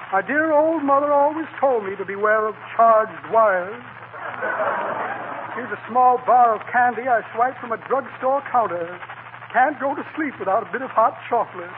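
An actor playing a pompous, shifty con man in a 1940s radio comedy, with florid, blustering, wordy speech. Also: extremely high pitch. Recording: noisy, tinny 1940 radio broadcast.

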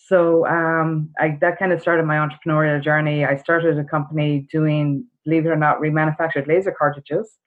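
A woman's voice: deep voice, little pitch movement, normal speed, hardly any pauses. A middle-aged woman from Ireland with an Irish accent, telling her story casually as a podcast guest. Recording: dull narrow-band call audio.

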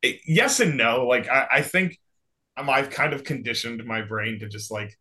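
Young guy cohost, bright sharp voice, animated storyteller. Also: medium pitch, moderate pace. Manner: animated storyteller, acts out quotes. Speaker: podcast co-host. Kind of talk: casual chat.

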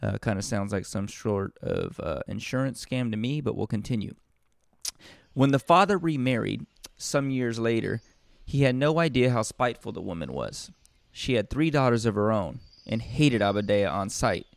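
A man reading a storybook and breaking off into casual, rambling, deadpan joking asides. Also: fairly low pitch, moderate pace, gravelly, no room sound, clean studio sound. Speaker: storybook reader and comedian.

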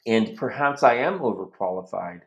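A man's voice: fairly low pitch, expressive, normal speed, highs cut off, a little hiss or hum. A man in his sixties from the United States, hosting a casual interview and telling long rambling stories with frequent restarts. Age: 60s. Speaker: host.

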